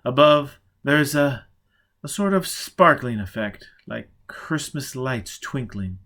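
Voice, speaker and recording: A man reading a story aloud, voicing a blunt, rough-edged man who talks in a plain, steady and earnest way. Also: medium pitch, slow, pitched above the performer's own voice, heavily acted.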